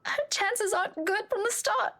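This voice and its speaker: An actress playing a weary, distraught young woman in a visual novel, speaking in a halting, emotional way. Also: very high-pitched, pushed and bright, slight background noise, heavily acted. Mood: grieving, apologetic. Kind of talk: visual novel voice acting, dramatic.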